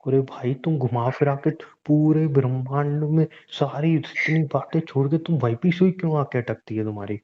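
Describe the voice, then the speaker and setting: A man's voice: medium pitch, normal speed, few pauses, dull audio, close to an everyday voice. A man playing a teasing, exasperated husband in a casual, conversational domestic audio drama. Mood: teasing, argumentative. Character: teasing, exasperated husband bickering with his injured wife. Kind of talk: improvised-sounding domestic audio drama, casual Hindi banter.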